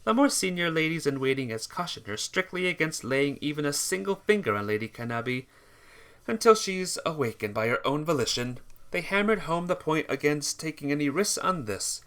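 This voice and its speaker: A man's voice: fairly high pitch, expressive, a little fast, a bit bright. A man reading a visual novel aloud as amateur narration, sometimes stumbling and rereading phrases.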